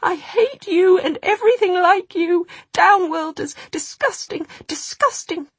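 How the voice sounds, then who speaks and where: A woman doing a shrill, trembling young woman. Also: a little slow, no room sound. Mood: shrieking hatred. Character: young woman, fierce, hateful, near hysterical.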